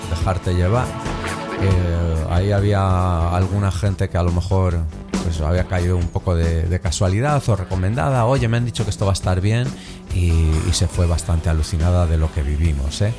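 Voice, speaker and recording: A deep-voiced middle-aged man with a Cantabrian Spanish accent hosts a radio show, chatting in a loose, slangy way. Music plays clearly beneath his voice on the broadcast. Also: steady tone, normal speed. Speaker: radio host. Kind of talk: casual radio chat. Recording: music playing under the voice.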